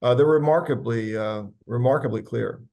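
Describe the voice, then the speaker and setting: A man speaking as a physician on a health panel, answering audience questions conversationally with many pauses and restarts. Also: mid-range voice, vocal fry, darkish tone. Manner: conversational, frequent fillers and restarts. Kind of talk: medical panel Q&A.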